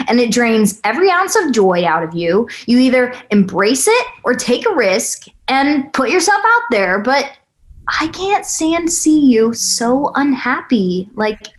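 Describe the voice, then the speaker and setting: A blunt, eager young girlfriend, played by a woman at a table read. Her voice sits fairly high and she speaks slowly. She banters brashly and teases as she goes. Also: sing-song. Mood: exasperated, goading. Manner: brash, foul-mouthed banter.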